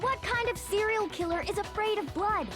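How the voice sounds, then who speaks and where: A woman's voice: very high-pitched, normal speed. A woman voicing an easily rattled, incredulous anime teenager in a video game, exclaiming and stammering in disbelief. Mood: shocked, incredulous. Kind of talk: dramatic video game character dub. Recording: loud game music behind.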